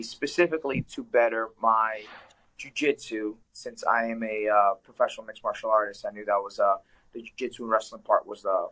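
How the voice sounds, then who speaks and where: A man's voice: medium pitch, bright, forceful tone, low-quality recording. A young man from Ecuador, a professional fighter, answering interview questions in an earnest, reflective way with frequent fillers. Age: young adult. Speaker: guest, professional mixed martial arts fighter.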